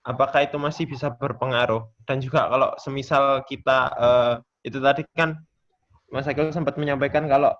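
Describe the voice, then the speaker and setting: A man taking part in a class, speaking casually and politely in a halting, hesitant way. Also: mid-range voice, steady tone, fairly bright tone. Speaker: webinar participant. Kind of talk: casual question and discussion. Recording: slightly dull lo-fi call audio.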